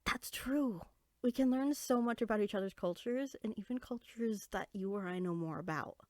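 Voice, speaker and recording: A woman playing a small, bookish, friendly fairy in a roleplay audio drama, speaking in a chatty, expressive, conversational way. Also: medium pitch, moderate pace, no echo, clean studio sound, close to an everyday voice. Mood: eager, friendly.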